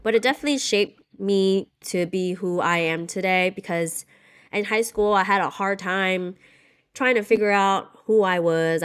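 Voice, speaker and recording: A woman's voice: on the low side, smooth, no room sound, clean studio sound. A young adult woman co-hosting a podcast, telling personal stories in a reflective, casual way. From United States. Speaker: podcast co-host. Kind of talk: casual chat.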